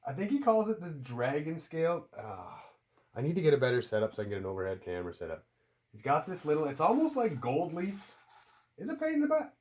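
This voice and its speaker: A man's voice: on the high side, big pitch swings, fast, darkish tone. Stuffy-nosed Canadian man rambling casually. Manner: chatty, self-deprecating, swears casually. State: stuffed up from a cold. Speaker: outdoor hobby vlogger. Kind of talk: rambling casual talk to camera. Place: indoors at home by a window.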